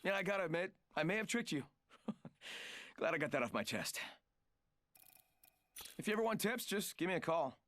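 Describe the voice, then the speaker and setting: A man's voice: on the high side, lively intonation, moderate pace, pauses often, a bit bright, no echo. An actor voicing a cocky, joking male trickster fighter in a video game, with smug, rambling asides. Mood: smug, teasing. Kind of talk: video game character voice lines.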